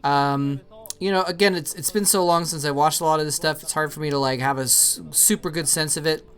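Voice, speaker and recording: A man's voice: fairly high pitch, fairly even intonation, brisk, fairly bright tone. A young man hosting and commentating an old esports match solo, chatty and rambling with many fillers, lighting up at the plays. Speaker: esports commentator, show host.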